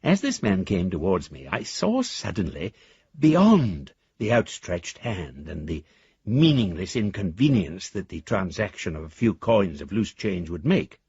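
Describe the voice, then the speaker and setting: An actor playing a half-mad, disillusioned rich speculator in a radio drama, husky and theatrical, with florid, emphatic delivery. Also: male voice, sits low, sing-song, a little slow, darkish tone, no echo, highs cut off, full character voice. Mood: bewildered, reflective. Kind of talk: dramatic radio monologue, letters read aloud.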